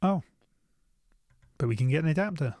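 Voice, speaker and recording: A man's voice: a little high, animated, moderate pace, darkish tone, no echo, clean studio sound. A British man giving casual, unscripted commentary as a gear reviewer, thinking aloud and often repeating his phrases.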